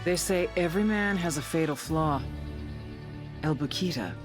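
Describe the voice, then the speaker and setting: An actress as a sardonic, low-voiced female intelligence agent. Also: normal speed, music in the background, natural voice. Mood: wry storytelling. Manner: sardonic, blunt, foul-mouthed. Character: cynical, tough female intelligence agent briefing on cartel targets. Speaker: narrator. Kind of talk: scripted dramatic narration in a video game cutscene.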